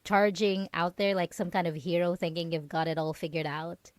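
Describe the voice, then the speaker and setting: A woman's voice: fairly low pitch, level tone, normal speed, no echo, close to an everyday voice. A woman playing a possessive, mocking yandere roommate in an ASMR roleplay, teasing and condescending as she lingers on her words. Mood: condescending, taunting. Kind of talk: dramatic ASMR roleplay monologue.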